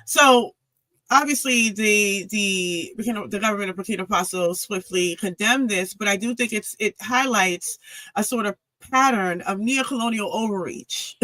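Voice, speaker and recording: A woman guest on an interview podcast, talking with a forceful, pressing tone. She works through her analysis point by point, often restarting her sentences. Her voice is close and dry, with no room sound. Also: mid-range voice, no room sound, low-quality recording. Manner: analytical, builds arguments with frequent restarts.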